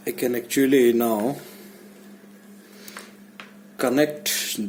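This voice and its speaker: A man giving a casual hands-on tutorial, speaking haltingly and muttering to himself as he works indoors. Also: medium pitch, fairly even intonation, darkish tone. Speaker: DIY vlogger. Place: small indoor room. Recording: background noise, room ambience.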